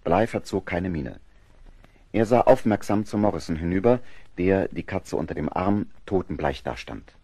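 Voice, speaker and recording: A man as the grave narrator of a seafaring audio drama, telling the story in a measured, scripted manner. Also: sits low, lively intonation, warm tone, breathy edge, no room sound, exaggerated voice.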